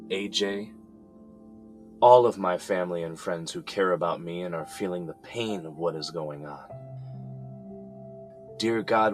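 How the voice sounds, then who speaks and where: A man's voice: sits low, a bit bright. A man playing a jailed son praying. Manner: halting, earnest, sighing. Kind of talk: audio drama acting. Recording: music under the voice.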